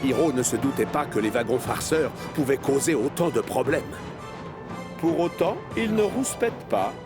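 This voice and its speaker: A man narrating a children's animated story in an expressive storyteller's manner. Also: mid-range voice, normal speed. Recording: music behind the voice. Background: music.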